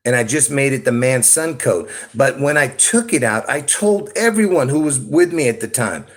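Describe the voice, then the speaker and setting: A man's voice: medium pitch, lively intonation, normal speed. A man from Lebanon rambling through a solo livestream, often stopping to ask "okay?" or "all right?" and chuckling between thoughts. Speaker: YouTube livestreamer. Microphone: phone.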